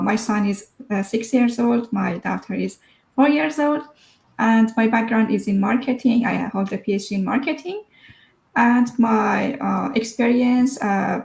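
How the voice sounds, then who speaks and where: A woman's voice: mid-range voice, gravelly, a little mellow, roomy sound, poor audio. A woman, telling her personal story as an interview guest, hesitant and full of fillers. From Iran.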